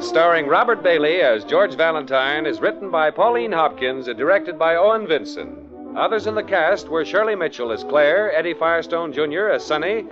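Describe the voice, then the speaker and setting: A male announcer with a smooth, salesy delivery. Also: fairly high pitch. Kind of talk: radio announcing. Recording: muffled 1940s radio broadcast with music.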